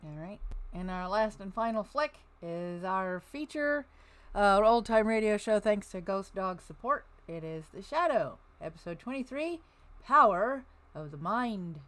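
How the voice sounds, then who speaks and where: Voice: medium pitch, lively intonation, measured pace, fairly bright tone. A podcast host chatting casually with a panel and introducing old radio shows.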